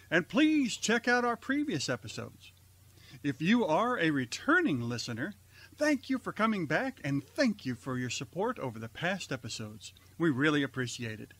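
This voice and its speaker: Husky, lively male radio-theater host. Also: fairly high pitch. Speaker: host and announcer of an amateur online radio theater. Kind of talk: scripted show introduction.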